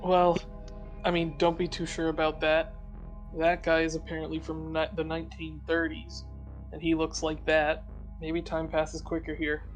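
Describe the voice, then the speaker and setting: Male game player, dry and deadpan, flat casual delivery, faint music in the background. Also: a little high, moderate pace, rough recording. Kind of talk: casual in-character game chat.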